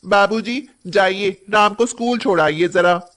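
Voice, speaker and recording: A woman's voice: medium pitch, unhurried, fairly bright tone, lightly acted. A woman playing a bossy, cruel young daughter-in-law in a moral story cartoon, with a nagging, sarcastic, haughty manner.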